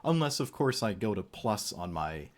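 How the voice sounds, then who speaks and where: A man's voice: lowish voice, very animated, normal speed. Casual man reviewing a card game, voice tiring. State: voice getting tired, losing it. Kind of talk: casual unscripted game commentary.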